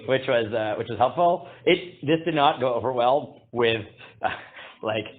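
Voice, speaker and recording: A man teaching a casual Torah class, halting with many fillers, reading Hebrew text aloud and translating it. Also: animated. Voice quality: booming voice. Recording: tinny phone-like line.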